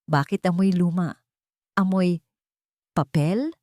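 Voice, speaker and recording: A woman's voice: lowish voice, slow, mellow, no echo, clean studio sound. A woman voicing a cold, suspicious rich girlfriend in an audio drama, sweet but cutting and slipping into English.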